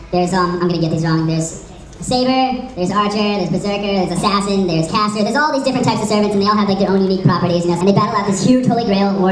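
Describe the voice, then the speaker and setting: A woman co-presenting a casual panel, talking with gushing, slangy, sweary enthusiasm. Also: medium pitch. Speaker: convention panel co-presenter and site admin. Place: convention panel room with audience. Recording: echoey room, distant mic, faint noise.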